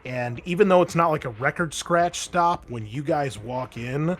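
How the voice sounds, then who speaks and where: A man running a tabletop roleplaying game as game master, narrating off the cuff in a halting, conversational way. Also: on the high side, a bit bright. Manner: halting, conversational, thinking aloud. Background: background music.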